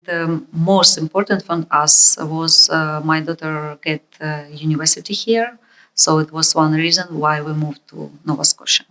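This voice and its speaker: A woman's voice: deep voice, fairly even intonation, normal speed, pushed and bright, rough recording. A woman from Ukraine speaking plainly and matter-of-factly in a documentary interview. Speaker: interviewee, immigrant mother.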